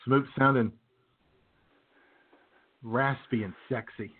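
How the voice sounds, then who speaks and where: Male co-host for casual comic banter, wry delivery, average pitch, thin telephone-quality sound. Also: fairly even intonation, slow. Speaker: radio show co-host. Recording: tinny phone line.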